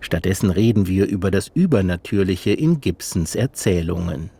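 A man narrating a radio feature, measured and literary, and interviewing an author. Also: low voice, expressive, vocal fry, mellow, husky. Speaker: radio reporter. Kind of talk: scripted narration.

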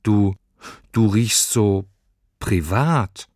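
A man's voice: on the low side, extremely slow, darkish tone, no echo, clean studio sound. A man voicing a homesick young girl in a storybook, candid and childlike. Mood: surprised. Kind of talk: storybook character voice.

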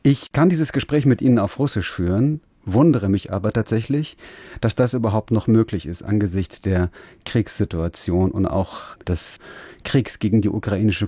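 Male radio host, low and mellow, measured delivery, dry booth. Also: husky, no room sound. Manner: calm, measured, speaks German and Russian. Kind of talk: radio interview with simultaneous interpreting.

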